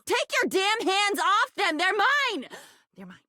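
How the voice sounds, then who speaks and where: A woman's voice: very high voice, big pitch swings, slow, pushed and bright, no echo, studio quality, full character voice. An actress voicing a volatile, lonely, dreamy woman in a video game, rambling theatrically from one non sequitur to the next. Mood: agitated, upset. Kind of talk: video game character voice acting.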